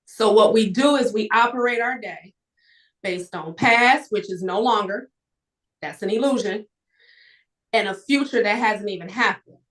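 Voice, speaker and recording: A woman talks as a motivational guest on a live stream. Her delivery is emphatic and animated, projecting clearly like a storyteller, at a middling pitch. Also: unhurried, low-quality recording. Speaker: guest author and entrepreneur.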